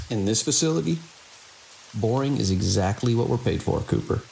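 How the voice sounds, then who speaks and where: A man playing a seasoned, wry security chief in an audio drama, with a measured, world-weary, procedural delivery and a gravelly voice. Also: mid-range voice, lively intonation, a little mellow, rough recording. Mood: firm. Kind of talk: audio drama dialogue and log monologue. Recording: faint hiss and rain-like noise.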